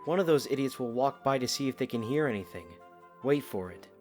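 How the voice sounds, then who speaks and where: An actor playing a young knight, earnest and dutiful with a dry teasing streak, in an audio drama. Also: male voice, medium pitch, lively intonation, brisk, darkish tone, faint music behind, lightly acted. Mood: wry.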